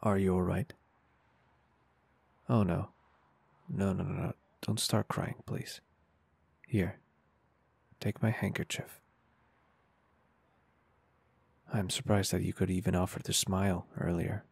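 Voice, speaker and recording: A man's voice: low-pitched, little pitch movement, unhurried, vocal fry, pauses often, breathy edge, dry recording, exaggerated voice. A man playing a caring, flirty and confident business owner in an intimate ASMR roleplay, speaking in a reassuring, polite and earnest way. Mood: concerned, soothing.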